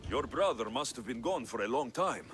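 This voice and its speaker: An actor playing a cocky, confident Greek mercenary hero in a video game, dry and taunting. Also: male voice, mid-range voice, animated, moderate pace, a bit bright, faint music behind, natural voice. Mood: serious.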